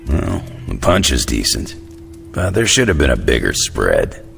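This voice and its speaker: Very deep, gravelly tough guy. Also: measured pace, full character voice. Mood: blunt. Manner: blunt, deadpan. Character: gruff, blunt, laconic tough-guy superhero, male. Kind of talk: video game cutscene acting. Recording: reverberant hall, music behind.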